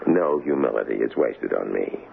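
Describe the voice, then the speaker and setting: An actor in a radio drama playing a shrewd, folksy, cynical political kingmaker with a glib, pushy patter and a gravelly voice. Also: male voice, extremely low pitch, animated, normal speed, exaggerated voice. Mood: rousing, persuasive. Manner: glib, wheedling salesman's patter, wry. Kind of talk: old-time radio drama acting. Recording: muffled, noisy old radio broadcast.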